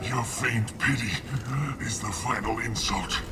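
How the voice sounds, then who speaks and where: An actor playing a dying, plague-stricken male alien in a video game, bitter and contemptuous, weak and halting with sickness. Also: lowish voice, steady tone, distant mic, background noise, close to an everyday voice. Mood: bitter, suffering. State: sick, coughing, weak.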